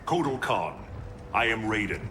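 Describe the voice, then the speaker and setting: An actor playing a calm, noble protector god in a video game, formal, measured and solemn. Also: male voice, on the low side, background noise. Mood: solemn, reassuring. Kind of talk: video game cutscene acting. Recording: cinematic music behind.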